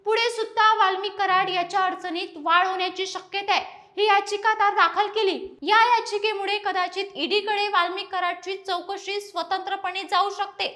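A woman's voice: extremely high pitch, fairly even intonation, normal speed, pushed and bright. A woman presenting a scripted news explainer.

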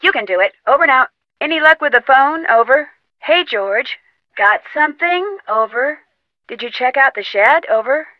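A young American woman playing a plucky, determined detective in an adventure game, speaking earnestly and clearly. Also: high-pitched, animated, moderate pace, no echo, dull audio. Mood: brisk, businesslike. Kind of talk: scripted game dialogue.

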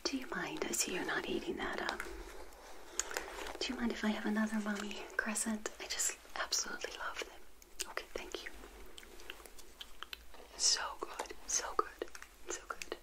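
A middle-aged woman whispering gently and intimately in an ASMR roleplay as a friendly witch hostess, close to the mic. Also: mid-range voice, expressive. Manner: gentle, unhurried, intimate personal attention. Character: friendly witch hosting a tea party. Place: small indoor set, seated. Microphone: close to the mic, facing the camera.

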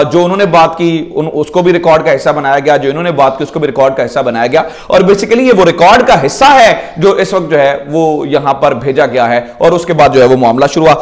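A man in his thirties with a Pakistani accent, giving informal political news commentary to camera in an explanatory way, mixing in English words. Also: a little high. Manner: explanatory, with rhetorical questions and English words mixed in. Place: indoor room in front of wooden doors.

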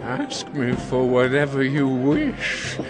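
Slow, grandiose king. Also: medium pitch, big pitch swings, music in the background. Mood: grandiose, indulgent. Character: besotted king making lavish vows to a girl. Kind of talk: audio Bible drama acting.

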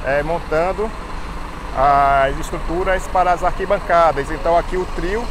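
A man's voice: on the high side, fairly even intonation, moderate pace. A man in his thirties from Salvador with a Bahian accent, giving a chatty walking tour of his city to a phone held at arm's length. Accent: Bahian Brazilian Portuguese. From Salvador, Bahia, Brazil. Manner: chatty, fillers and restarts, guiding. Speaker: travel vlogger. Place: outdoors on a city street with traffic. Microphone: phone held at arm's length, selfie. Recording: loud street traffic noise behind.

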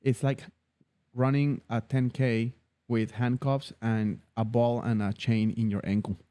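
A man in his thirties hosts a studio podcast with a close boom mic, telling stories with lively put-on voices. His tone is dark and mellow, relaxed rather than bright. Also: a little slow. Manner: animated storyteller, acts out voices when telling stories. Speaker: podcast host. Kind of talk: casual interview chat. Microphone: studio mic on boom arm, headphones.

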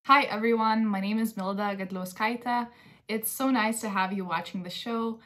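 A woman's voice: mid-range voice, steady tone, moderate pace, fairly bright tone. Young woman co-hosting. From Lithuania. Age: young adult. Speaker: co-host. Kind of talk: conversational talk show presenting. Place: bedroom at home, indoors.

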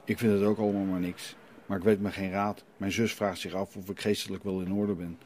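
A man voicing a meek, troubled father at confession in an audio drama, husky and hesitant. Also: low-pitched, flat delivery, brisk, a little mellow, slight background noise, close to an everyday voice. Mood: uncertain. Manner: hesitant, uncertain.

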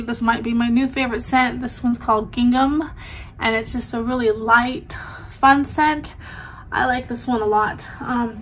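Woman, 30s, head cold and stuffy nose, rambling home vlog chat, fairly high voice. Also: a little hiss or hum. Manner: chatty, rambling, with frequent "um" and "like". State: stuffy and congested with a sore throat. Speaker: vlogger. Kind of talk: casual chat. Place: small home bedroom, indoors. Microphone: camera in front at close range.

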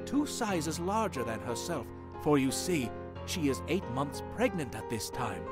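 A man's voice: on the high side, big pitch swings. Man acting a theatrical horror narrator over music. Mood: ominous storytelling. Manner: theatrical, ominous, deliberate phrasing. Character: theatrical, spooky horror-tale narrator and host. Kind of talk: scripted horror radio-play narration.

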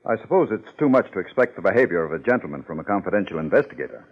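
Stuffy low-voiced gentleman. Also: sing-song, racing. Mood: offended, flustered. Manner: formal, stiff, hesitant when pressed. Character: stuffy, flustered wealthy gentleman philanthropist. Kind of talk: 1950s radio drama acting. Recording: muffled vintage 1950s radio recording.

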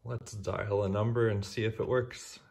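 A man's voice: fairly low pitch, fairly even intonation, normal speed, vocal fry, very noisy. A man explaining his own electronics project in a plain, matter-of-fact way, recorded indoors at home by a camera. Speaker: hobbyist maker showing his project. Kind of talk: casual tech demo narration. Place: indoors at home, over a wooden table.

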